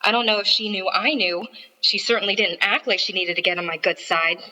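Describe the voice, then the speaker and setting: Bright, dramatic radio dame. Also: female voice, mid-range voice, steady tone, fairly quick, poor audio. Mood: bitter, catty. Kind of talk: old-time radio play acting.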